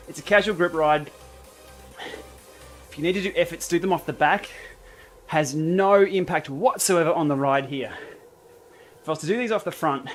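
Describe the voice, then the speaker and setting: A man chats loosely while riding an indoor trainer, his voice sitting a little high for a man. He reads out viewer comments and answers them in an easygoing way, with faint music running underneath. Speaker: livestream host. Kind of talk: casual live chat while riding.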